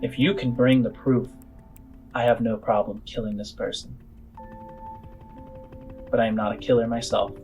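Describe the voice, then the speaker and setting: A man playing a shrewd, measured female alien informant in a tabletop game, speaking formally and haltingly over music. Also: medium pitch, level tone, a little mellow, natural voice. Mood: grave, deliberate.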